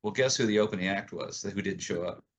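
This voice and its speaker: A man in his sixties hosting a casual interview, telling stories in a halting, conversational way. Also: on the low side. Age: 60s. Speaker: podcast host, interviewer.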